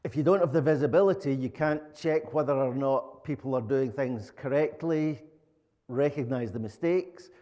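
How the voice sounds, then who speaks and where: A middle-aged Scottish man from Glasgow speaks with a Glaswegian accent at a medium pitch. He presents in an informal, plain-spoken way as a conference speaker. His voice rings with the long reverb of a hall. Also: moderate pace, warm tone. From Glasgow, Scotland. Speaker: conference speaker, lead software architect. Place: conference room, indoors.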